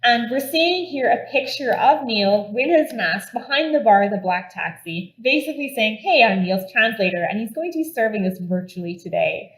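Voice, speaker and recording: A woman's voice: on the high side, lively intonation, moderate pace, hardly any pauses, reverberant, poor audio. An Irish woman giving an upbeat, step-by-step product demo at a conference. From Ireland. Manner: upbeat and enthusiastic, explaining step by step. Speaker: conference presenter.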